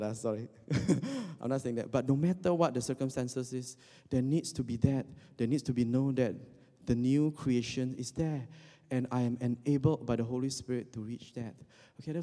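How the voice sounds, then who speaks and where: A man's voice: mid-range voice, dark tone. Man preaching in a long reverberant hall. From Indonesia. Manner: joking asides, frequent fillers and restarts. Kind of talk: casual conversational sermon.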